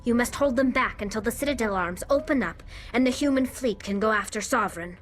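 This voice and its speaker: Actress as pragmatic, insistent sci-fi squadmate, slightly high register, game dialogue over light score. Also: female voice, brisk, over music, close to an everyday voice. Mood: insistent, cautioning. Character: urgent, hard-headed squadmate advising the commander. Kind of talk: video game cutscene acting.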